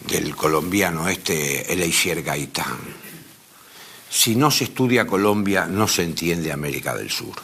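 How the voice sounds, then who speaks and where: A man's voice: deep voice, bright, forceful tone, breathy edge. A man with an Argentine accent giving an informal academic lecture in an emphatic way, repeating phrases for stress. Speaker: lecturer in philosophy.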